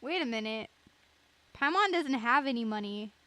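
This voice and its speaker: A woman's voice: on the high side, lively intonation, moderate pace, pushed and bright, dry recording. A woman giving casual, giggly, reactive commentary as she plays a game. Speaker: game streamer.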